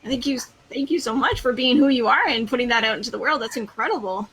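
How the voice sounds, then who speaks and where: A woman's voice: fairly high pitch, quick pace, a crowd in the background, low-quality recording. A woman hosting an interview show, greeting her guest with enthusiastic, effusive praise. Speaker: podcast host.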